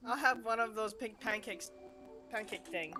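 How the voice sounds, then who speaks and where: A woman's voice: fairly high pitch, normal speed, loud music behind the voice. A woman giving casual live commentary as a game streamer.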